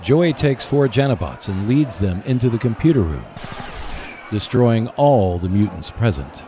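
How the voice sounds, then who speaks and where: A man's voice: lowish voice, big pitch swings, unhurried, background noise. A man narrating a scripted radio play as host, in a dramatic storytelling manner.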